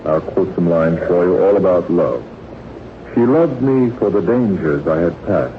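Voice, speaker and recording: A man with a low voice hosts a quiz on 1940s radio, reading out questions and ribbing the contestants. The sound is thin and narrow, with a light layer of old broadcast noise. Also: expressive, a little slow. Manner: bantering, teasing. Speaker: quiz show host.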